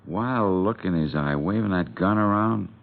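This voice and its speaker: A plain-spoken American lunch counter owner, with a deep voice and a talkative, slightly rambling way of giving his account. It sounds like a dull old 1950s radio drama recording. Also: moderate pace, vocal fry. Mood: recounting. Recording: muffled vintage radio recording.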